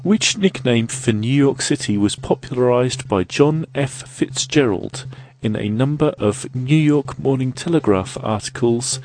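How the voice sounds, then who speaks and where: A man hosting an audio quiz podcast, reading the questions in a measured way, his voice a little croaky from a cold. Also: mid-range voice, animated, darkish tone, low-quality recording. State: has a cold, slightly croaky. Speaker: quiz host.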